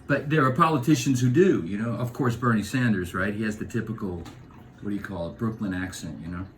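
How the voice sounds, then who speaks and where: A man's voice: lowish voice, a little fast, a little hiss or hum. A middle-aged man from New York with a New York accent, talking in a casual comic monologue and jumping between topics. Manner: animated, digressive, slips into voices. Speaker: vlogger.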